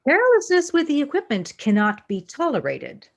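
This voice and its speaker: A woman's voice: high voice, huge pitch swings, moderate pace, gravelly, highs cut off, exaggerated voice. A woman playing a coldly logical female first officer in a sci-fi fan audio drama, with clipped, formal, commanding delivery. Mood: cold, stern.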